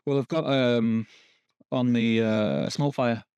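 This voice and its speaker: Man, podcast co-host, teasing, gravelly voice, dry sound. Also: mid-range voice, fairly even intonation, darkish tone, no room sound, muffled recording. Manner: quick, teasing, lots of agreeing backchannels. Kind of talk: casual chat.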